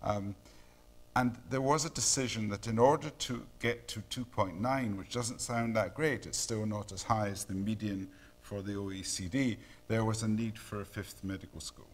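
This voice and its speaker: Scottish man giving an academic lecture. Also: lowish voice. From Scotland. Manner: measured, many ums and uhs.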